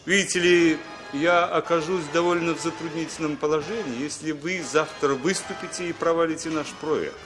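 A man acting a suave, scheming gentleman with a polite, insinuating manner in a 1960s Soviet radio play. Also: on the high side, fairly even intonation, normal speed, hardly any pauses, music in the background, natural voice. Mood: smooth, insistent. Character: suave, scheming gentleman quietly pressing for favours.

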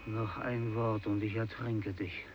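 A man's voice: fairly low pitch, little pitch movement, a little slow, slightly rough. An actor playing an intense, volatile fisherman, threatening and guilt-ridden, in a 1950s German radio drama. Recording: muffled, very noisy old radio recording.

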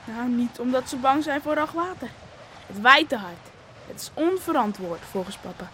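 A woman's voice: sits high, animated, moderate pace, sharp tone, a little hiss or hum. A woman playing a cocksure young fisherman's son, bossy and teasing, in a Dutch radio play. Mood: defensive.

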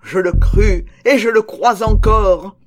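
A woman reading a gothic horror story as a guilt-maddened murderer, in a dramatic, deliberate manner. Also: mid-range voice, soft background music, close to an everyday voice. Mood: frantic, agitated. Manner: dramatic, deliberate, building to frenzied cries.

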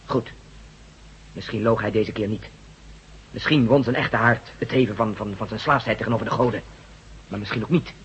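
A man's voice: lowish voice, normal speed, long pauses, natural voice. A man playing a hardened, distrustful resistance fighter, blunt and sarcastic, in a science-fiction radio drama. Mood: grim. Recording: dull old radio recording, faint noise.

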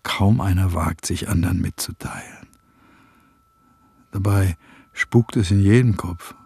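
Gravelly, husky, reflective man. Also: low-pitched, a little slow, darkish tone, heavily acted. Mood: reproachful, sad. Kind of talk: German radio play acting.

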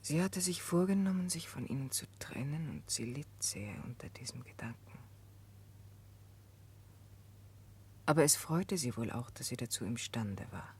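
An actress in a 1960s German radio drama playing an earnest, compassionate confidante, speaking gently and deliberately. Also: female voice, rumbling low, lively intonation, slightly rough, heavily acted. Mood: gentle, concerned. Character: earnest, compassionate woman, a calm moral confidante. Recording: noisy 1960s radio recording.

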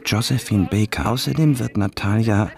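An actor playing an exuberant, boastful young student in love, speaking effusively in a radio drama. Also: male voice, fairly low pitch, normal speed, creaky, heavy background noise. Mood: enthusiastic. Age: young adult. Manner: effusive, showy. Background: music.

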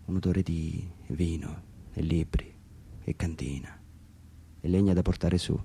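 A man's voice: low-pitched, steady tone, a little slow, creaky, mellow, a little hiss or hum. A man from Umbria, Italy, giving a dramatic literary reading of his own text for radio theatre, brooding and theatrical, sometimes dropping to near whispers.

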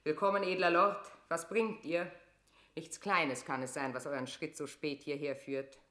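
Imperious queen, bright forceful low voice. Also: steady tone, moderate pace. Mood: regal, commanding. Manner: declamatory, regal, swinging from whisper to outburst. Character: imperious Queen of England, haunted, scheming and wrathful. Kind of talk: classical German stage verse in radio drama. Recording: roomy studio radio drama echo.

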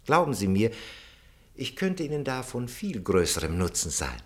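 A man's voice: mid-range voice, big pitch swings, moderate pace, natural voice. An actor playing a servile, ambitious, scheming male minister in a German radio play, with an obsequious, theatrical way of talking. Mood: ingratiating.

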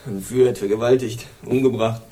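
A man's voice: mid-range voice, steady tone, moderate pace, dark tone, a little hiss or hum, lightly acted, rough recording. An actor playing a battered young male drug user who is dazed and nauseous and gives subdued, terse, evasive replies in a radio drama. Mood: sullen.

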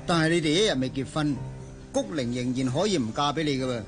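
A male actor playing the groom's smooth-talking old friend in a Cantonese radio drama, teasing and insinuating in a Hong Kong accent. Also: on the high side, animated, fast talker, lightly acted. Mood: persuasive, sly. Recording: music behind the voice.

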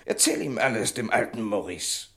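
Loyal gruff pal in a german crime radio play, pushy and coaxing, really lively pitch. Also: male voice, moderate pace. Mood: concerned, coaxing. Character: Maurice, gruff, loyal, protective male friend.

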